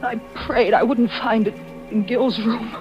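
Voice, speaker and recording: A woman's voice: fairly high pitch, fairly even intonation, measured pace, lightly acted. A woman playing an anxious, devoted wife turned amateur sleuth in old-time radio suspense, with breathless, melodramatic urgency. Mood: tense, fearful. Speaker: actress narrating and playing the lead. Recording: muffled vintage radio recording with music.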